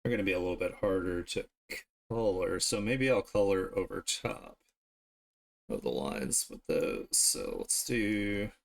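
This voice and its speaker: Guy with a low creaky voice, casually talking himself through a drawing on stream. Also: lively intonation. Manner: informal, unhurried musing to self while drawing. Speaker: streamer and artist. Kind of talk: casual art livestream commentary, thinking aloud.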